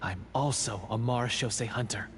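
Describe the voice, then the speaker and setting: An actor playing an earnest undercover dungeon guard in a fantasy game, speaking in a hushed, solemn, urgent way. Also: male voice, medium pitch, brisk, music in the background, lightly acted. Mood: urgent, secretive. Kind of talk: fantasy video game acting.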